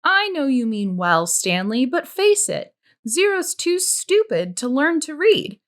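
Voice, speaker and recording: A woman's voice: high voice, very animated, measured pace, pitched above the performer's own voice. A woman reader voicing a mocking, scornful male camp counselor who sneers with mock praise. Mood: condescending.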